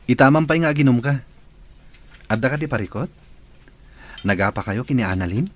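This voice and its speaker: An actor with a Filipino accent playing an inquisitive, supportive drinking buddy in a radio drama. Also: male voice, medium pitch, expressive, brisk, a little hiss or hum, close to an everyday voice. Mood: curious, encouraging.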